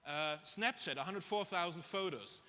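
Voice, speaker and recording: A man's voice: high-pitched, normal speed, sharp tone. A middle-aged man with a German accent giving an informal, humorous conference talk, self-deprecating and chatty with asides to his audience. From Germany, raised in Dubai. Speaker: chief executive speaking on stage. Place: on stage in a large conference hall. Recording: echoey conference hall, long reverb.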